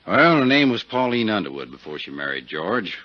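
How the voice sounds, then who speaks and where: An actor playing a folksy, easygoing older small-town Western sheriff in a 1950s radio drama. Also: male voice, lowish voice, very animated, fairly quick, no room sound. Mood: frank, reflective. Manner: folksy, drawling out hesitations. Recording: dull 1950s radio recording.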